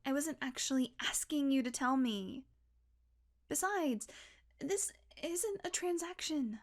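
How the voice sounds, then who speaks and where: Female vampire, woman actor, higher-pitched, unhurried delivery; sardonic, blunt, guardedly caring; intimate audio roleplay. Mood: irritated, guarded. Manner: sarcastic, blunt, coaxing, sighing, deliberate pauses.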